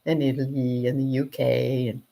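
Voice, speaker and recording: Low, gravelly-voiced woman chatting live. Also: moderate pace. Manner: relaxed, laughs often, thinks aloud while working. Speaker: potter and channel host. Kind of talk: casual live-stream chat.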